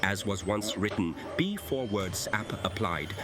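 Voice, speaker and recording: Low voiced guy doing a wordy mock-grand radio play monologue over music. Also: expressive, measured pace.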